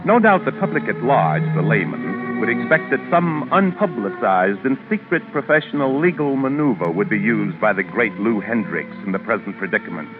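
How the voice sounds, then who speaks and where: Self-important scheming male lawyer, low voice, measured old radio narration, muffled with music behind. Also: big pitch swings. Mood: wry, calculating. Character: proud, calculating male New York criminal lawyer, guilty of murder. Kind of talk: 1940s radio drama first-person narration. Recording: narrow, muffled vintage radio recording with music.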